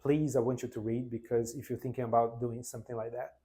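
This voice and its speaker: A man in his forties from Brazil with a Brazilian Portuguese accent, whose first language is Portuguese, telling his own story unscripted in an earnest, halting way with many restarts. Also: mid-range voice, level tone, warm tone. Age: 40s. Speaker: vlogger. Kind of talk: spontaneous personal testimony.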